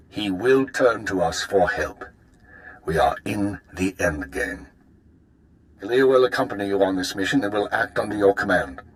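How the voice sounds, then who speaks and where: Man playing male spymaster, mid-pitched, composed, clipped formal delivery, authoritative, video game briefing. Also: lightly acted, rough recording. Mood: grave, resolute. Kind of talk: video game character dialogue.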